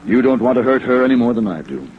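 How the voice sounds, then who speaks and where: An actor playing an earnest, protective gentleman in a 1950s radio drama, speaking formally and with some hesitation. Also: medium pitch, very animated, fast, close to an everyday voice. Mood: earnest, troubled. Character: earnest, protective gentleman guarding a troubled widow. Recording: muffled old radio recording, rain noise.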